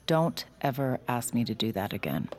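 A woman's voice: rumbling low, big pitch swings, moderate pace, a little hiss or hum. An actress playing a wry, jaded young woman who reads minds and narrates her own story with dry sarcasm. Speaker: narrator and lead. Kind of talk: audio drama acting.

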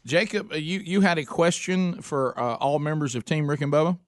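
Male radio host in his fifties, a bit higher voice, dry studio sound, folksy banter. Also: dry recording. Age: 50s. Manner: folksy, joking, halting with fillers.

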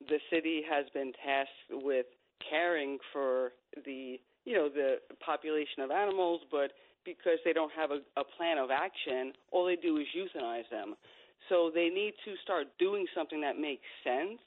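A woman's voice, low for a woman, comes through a tinny, band-limited phone line. She gives conversational radio commentary as co-host, earnest and insistent. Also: moderate pace.

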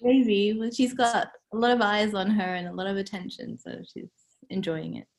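Soft-spoken gravelly-voiced woman guest. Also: mid-range voice, moderate pace. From Hong Kong. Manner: hesitant, soft-spoken, many ums, drops into whispers. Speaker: novelist, interview guest. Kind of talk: casual interview.

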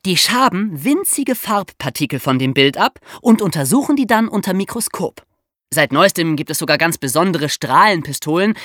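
A woman podcast co-host with a slightly low voice and very animated intonation. She explains things in everyday language, as if telling a story to young listeners. The sound is dry and close. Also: no room sound. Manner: explanatory, colloquial. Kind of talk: conversational true-crime storytelling for young listeners.

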